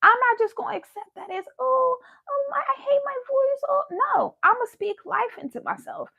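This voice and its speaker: A young woman hosting a motivational livestream, earnest and goofy, laughing often, her voice going hoarse. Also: extremely high pitch, big pitch swings, moderate pace, phone-quality sound. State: losing her voice. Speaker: livestream host. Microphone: phone or webcam by a ring light.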